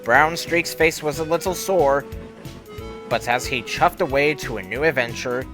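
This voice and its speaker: A man reading a scripted children's story as narrator, expressive, with deadpan understatement. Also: mid-range voice, unhurried. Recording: music under the voice. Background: music.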